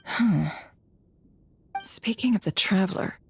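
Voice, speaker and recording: A woman's voice: medium pitch, animated, slow, vocal fry, darkish tone. A woman voicing a composed, observant young woman in a game, cool and musing.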